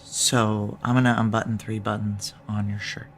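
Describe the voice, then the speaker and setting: An actress playing a gruff, weary ex-military female security chief in an audio drama, speaking in a clipped, dry, commanding way. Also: a bass voice, flat delivery, normal speed, soft background music. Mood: matter-of-fact. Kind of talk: naturalistic audio drama acting with noir narration.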